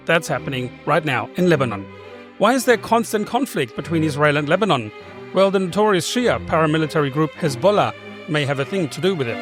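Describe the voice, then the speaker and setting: A man who hosts a podcast, presenting a scripted introduction and then interviewing a guest in a clear, articulate way. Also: fairly high pitch. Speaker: podcast host.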